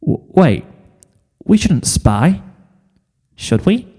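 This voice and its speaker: A man voicing a sweet, polite, dreamy little girl pony with a gentle, hesitant manner in a storybook reading. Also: fairly high pitch, big pitch swings, drawn-out words, slightly rough, in a large hall, voice pushed up, exaggerated voice.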